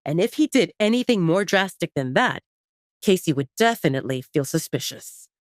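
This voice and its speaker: A woman's voice: fairly low pitch, big pitch swings, normal speed, no room sound, clean studio sound. A woman narrating a scripted romance story in a dramatic audiobook style.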